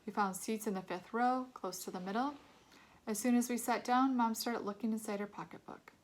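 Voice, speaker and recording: A woman reading a children's novel aloud in an expressive storytelling manner, narrating in a child's first person. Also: a little high, fairly quick, poor audio. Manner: expressive, acts out dialogue.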